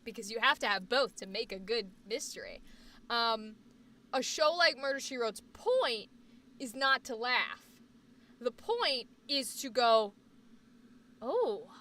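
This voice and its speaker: A woman's voice: fairly high pitch, bright, forceful tone, far from the mic. A woman hosting a casual livestream chat, talking in a rambling, emphatic, opinionated way, with a slight Boston accent. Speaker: livestream host.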